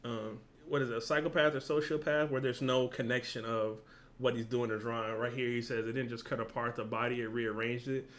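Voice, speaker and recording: A man's voice: medium pitch, level tone, brisk, fairly bright tone. A man speaking informally and with slang, as a reaction host who reads a story aloud and comments casually. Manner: informal, slangy, swearing, exclamatory asides. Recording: clear background noise.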